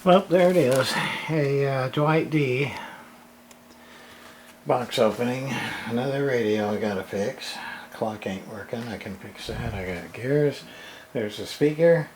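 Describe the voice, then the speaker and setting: A man's voice: mid-range voice, a little slow. A man giving casual hands-on unboxing commentary, muttering and grumbling to himself with some mild swearing. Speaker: hobbyist radio repairer presenting an unboxing. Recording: noisy home recording with handling clicks.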